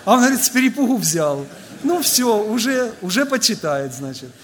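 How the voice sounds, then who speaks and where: A man's voice: sits high, sing-song, moderate pace, fairly bright tone. Male preacher, tenor-ish, informal storytelling delivery, leans on fillers. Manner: conversational, anecdotal, frequent filler "vot". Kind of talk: storytelling sermon to a congregation.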